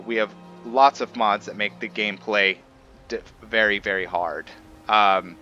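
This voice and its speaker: A man's voice: mid-range voice, bright, forceful tone, highs cut off. A man hosting a let's play with casual gameplay commentary, hesitant and often correcting himself as he explains.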